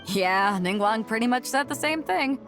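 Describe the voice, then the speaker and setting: A woman voicing a bold, easygoing sea captain in an animated game, breezy, blunt and joking. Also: a little high, moderate pace, soft background music. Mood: easygoing, amused.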